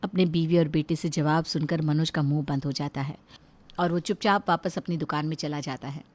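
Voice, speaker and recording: धीमे सुर वाली महिला कथावाचक. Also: female voice, low-pitched, fairly even intonation, brisk, a little mellow. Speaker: storyteller. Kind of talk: scripted moral-story narration.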